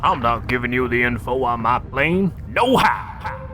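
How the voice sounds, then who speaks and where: A cocky captured pilot from a cartoon adventure, voiced by a man. His mid-pitched voice is animated, slangy and defiant, over clear background noise. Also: a little slow.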